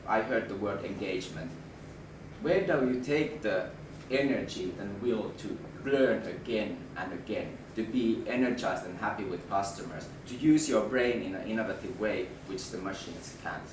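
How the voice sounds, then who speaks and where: A man with a Finnish accent, hoarse from a flu, giving a presentation to an audience and restarting his words as he goes. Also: fairly low pitch, animated. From Finland. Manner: halting, restarts words, then rises in emphasis. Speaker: management consultant presenter. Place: room with an audience. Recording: roomy, distant mic with background noise.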